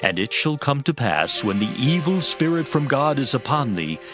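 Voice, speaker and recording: Earnest royal servant, lively intonation by an actor. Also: male voice, mid-range voice, normal speed, lightly acted. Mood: earnest, deferential. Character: earnest, deferential male servant of a king. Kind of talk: dramatized scripture reading. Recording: prominent music bed behind voice.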